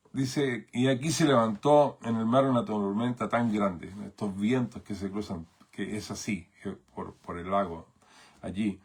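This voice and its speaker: A man from Chile with a Chilean Spanish accent, preaching a sermon as pastor in a thoughtful way, with many fillers and tag questions. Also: mid-range voice.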